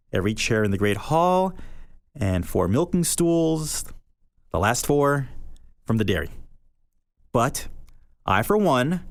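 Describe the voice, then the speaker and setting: A man, a podcast host, reading a short story aloud in an earnest, measured way, his pitch rising and falling for effect. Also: dry recording, clean studio sound. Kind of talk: reading literary fiction aloud.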